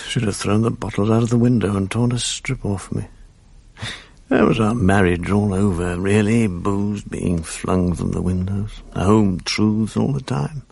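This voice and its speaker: Weary English drinker, low gravelly voice, self-pitying, wry, confessional radio drama. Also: male voice, darkish tone, dry recording, heavily acted. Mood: rueful. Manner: wry, rueful, rambling. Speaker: lead actor and narrator.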